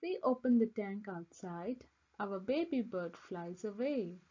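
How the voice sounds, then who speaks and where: Slow, lively woman reading a storybook. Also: medium pitch, highs cut off. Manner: simple, deliberate, expressive phrasing. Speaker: children's book reader. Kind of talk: scripted storybook read-aloud for young children.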